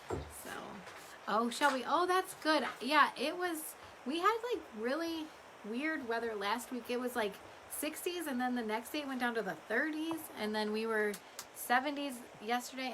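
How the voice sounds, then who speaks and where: A woman's voice: a little high, background noise. A woman chats casually and ramblingly to customers on a livestream, her voice a little strained from talking. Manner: rambling, chatty. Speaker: small business owner hosting a live update.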